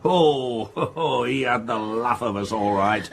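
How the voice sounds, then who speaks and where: A man's voice: mid-range voice, slow, gravelly, a little hiss or hum. An older man playing a self-assured, blustering father in a period radio drama, arguing emphatically and dismissively. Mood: triumphant bluster. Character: blustering, self-assured middle-aged father of a well-to-do family. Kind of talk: period stage-play radio drama acting.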